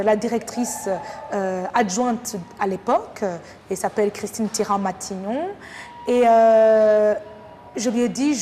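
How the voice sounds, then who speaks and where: A woman from Rwanda, a teacher talking thoughtfully in an interview with many pauses and fillers. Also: mid-range voice, dark tone. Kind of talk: casual interview talk. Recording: reverberant room with faint noise.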